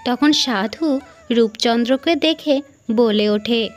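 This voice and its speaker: Female storyteller for cartoon fairy tales, Indian Bengali accent, higher-pitched, slow and measured over music. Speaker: storyteller narrator. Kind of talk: scripted fairy-tale narration.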